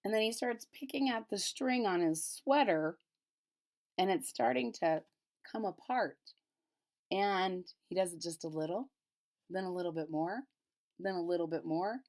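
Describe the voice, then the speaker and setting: A woman reading a children's storybook aloud, pausing to retell and explain it simply for young listeners. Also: on the low side, darkish tone. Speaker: storybook reader. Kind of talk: children's picture-book read-aloud with retelling in plain words.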